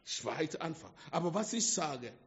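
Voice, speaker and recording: A man preaching a sermon to a small congregation in German with a non-native accent, slow and emphatic, swinging between hushed and loud. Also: on the high side, big pitch swings. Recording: dull lo-fi sound with long hall reverb.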